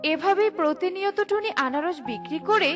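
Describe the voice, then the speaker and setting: A woman's voice: very high voice, a little fast, over music. A woman narrator reading a scripted children's story.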